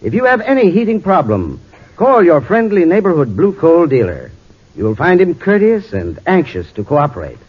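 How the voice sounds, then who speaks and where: A man's voice: a little high, wildly swooping pitch, moderate pace. A man reading a scripted 1940s radio advertisement in a folksy, courteous, persuasive way as an expert spokesman. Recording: dull 1940s radio recording.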